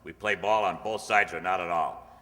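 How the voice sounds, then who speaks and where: A man's voice: low-pitched, fairly even intonation, normal speed, pushed and bright. A man playing a tough undercover police detective in an old-time radio crime drama, terse and hard-boiled. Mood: angry. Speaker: actor and first-person narrator in a radio drama. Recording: echoey hall, music behind.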